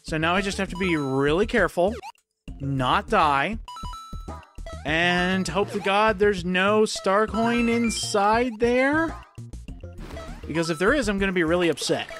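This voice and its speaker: Hoarse, chatty gameplay commentator. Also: medium pitch, fairly bright tone. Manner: chatty, exclaims at game events. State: hoarse, losing voice from a cold. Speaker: let's play commentator. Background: faint game music.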